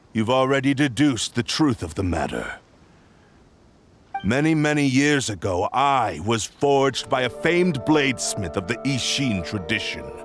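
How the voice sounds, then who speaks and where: A centuries-old cursed sword in a game, bitter about its past and brooding over it. The voice sits low and moves at a heavy, deliberate crawl. Game music plays clearly underneath. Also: male voice. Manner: slow, measured, grave.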